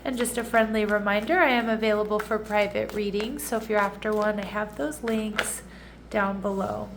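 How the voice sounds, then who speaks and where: A woman's voice: mid-range voice, fairly even intonation, moderate pace, background noise. A woman giving a casual tarot reading, a little nasal and congested, chatty with frequent restarts and asides. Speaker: tarot reader.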